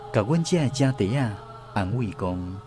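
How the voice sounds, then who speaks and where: A man's voice: fairly low pitch, lively intonation, measured pace. A man playing a grown child looking back fondly, narrating a literary radio drama with a Taiwanese accent. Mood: sorrowful. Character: grown child fondly remembering a hardworking mother. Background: soft guitar music.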